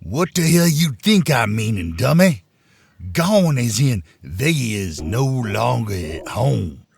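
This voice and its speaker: A man playing a gruff, blunt backwoods country man with a drawn-out delivery in an audio comedy. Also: medium pitch, very animated, darkish tone, heavily acted. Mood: exasperated. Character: gruff, exasperated backwoods country man bearing bad news. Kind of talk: audio comedy-horror acting.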